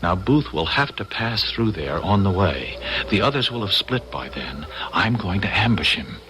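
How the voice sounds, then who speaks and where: Male lead: earnest, desperate everyman, low but swinging pitch, halting urgency, noisy vintage radio drama. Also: very animated. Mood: determined, tense. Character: earnest, desperate everyman trying to prevent a crime. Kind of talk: old-time radio drama acting.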